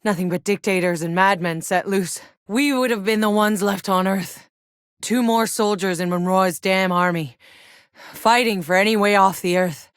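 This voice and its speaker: A woman's voice: medium pitch, moderate pace, smooth, no echo, lightly acted. An actress playing a tough, weary, sardonic female soldier in a sci-fi video game, blunt and dry in manner. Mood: bitter, anguished. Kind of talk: scripted video game character dialogue.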